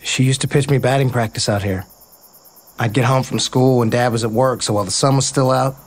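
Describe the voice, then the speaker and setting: An actor playing a playful, sincere baseball player, casual and earnest in a naturalistic audio drama. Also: male voice, mid-range voice, steady tone, brisk, natural voice. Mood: nostalgic, sincere.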